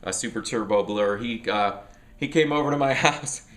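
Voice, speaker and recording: A middle-aged man with a slightly low voice talks about his record collection at home. He sounds a little sniffly from allergies. He rambles in a loose, casual way, full of fillers and restarts. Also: normal speed, a bit bright. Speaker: music vlogger.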